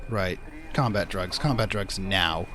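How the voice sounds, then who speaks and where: A man's voice: low voice, unhurried, very noisy. A man giving wry, exclamatory commentary while he plays a video game, muttering and reacting as he goes. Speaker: let's play gamer. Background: loud game music and sound.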